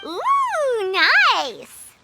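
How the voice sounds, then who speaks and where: An excitable, chatty little fairy sidekick from a video game. The voice is extremely high and childlike, swooping up and down with eagerness. Prominent music plays underneath. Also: female voice, very slow, heavily acted. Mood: excited, curious. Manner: speaks of herself in the third person, eager. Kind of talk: animated video game voice acting.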